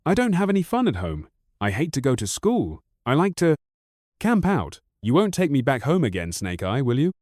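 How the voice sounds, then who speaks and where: A man voicing a wild, boastful ten-year-old boy who chatters on in rapid-fire questions. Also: mid-range voice, huge pitch swings, darkish tone, dry recording, clean studio sound, voice pushed up. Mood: eager.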